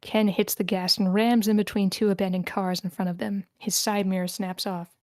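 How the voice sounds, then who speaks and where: A woman's voice: medium pitch, flat delivery, normal speed, no room sound, studio quality. A woman reading the stage directions of a screenplay as scripted narration at a table read.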